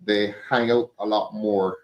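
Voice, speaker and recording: An older man, hosting a conversational panel interview in a measured way with short probing questions. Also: fairly low pitch, fairly even intonation, lo-fi sound. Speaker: host leading a panel discussion. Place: studio panel table.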